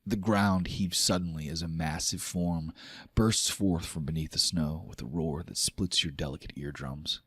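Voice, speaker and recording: A man's voice: low-pitched, fairly even intonation, moderate pace, fairly bright tone, breathy edge, no echo, clean studio sound. A man running a tabletop fantasy game, mixing dramatic storytelling with casual, sweary table talk. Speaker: game master.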